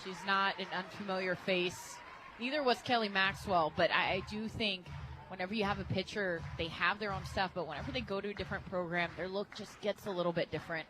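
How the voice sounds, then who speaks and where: An American woman giving conversational color commentary on a softball radio broadcast, as an analyst. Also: mid-range voice, brisk. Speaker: color analyst, former player. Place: stadium radio booth, open to outdoor noise. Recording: clear outdoor ballpark noise.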